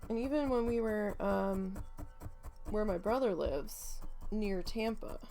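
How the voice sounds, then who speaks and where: Gravelly-voiced woman chatting casually. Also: medium pitch, takes it slowly, dark tone, faint music behind. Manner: rambling, full of fillers, swears freely. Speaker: craft vlogger. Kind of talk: casual chat while needle felting.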